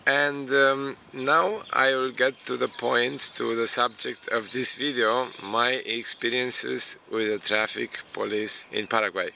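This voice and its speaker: Native Romanian speaker, male, slow and halting vlog delivery, gravelly low voice, faint background noise. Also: steady tone, pushed and bright. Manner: unhurried, hesitant, many fillers. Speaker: immigration consultant vlogger. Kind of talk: casual talk to camera.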